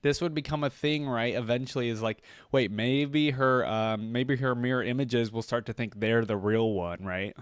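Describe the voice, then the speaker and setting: A man's voice: mid-range voice, steady tone, moderate pace, hardly any pauses, clean tone, no room sound, clean studio sound. A man hosting a reaction video, who talks casually and enthusiastically, rambling with lots of fillers.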